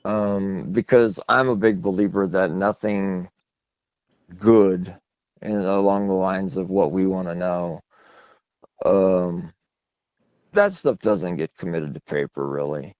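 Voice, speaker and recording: A man's voice: slow pace, a little mellow, dry recording. A man being interviewed by phone as an author, talking in a long-winded, anecdotal, self-deprecating way. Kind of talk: rambling phone interview conversation. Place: phone call. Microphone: telephone. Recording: muffled low-fidelity phone line.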